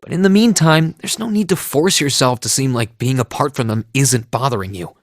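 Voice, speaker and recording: An actor playing an earnest, kind teenage hero in an anime game dub, sincere and considerate in manner. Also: male voice, mid-range voice, fairly quick, no room sound, studio quality, natural voice. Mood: reassuring.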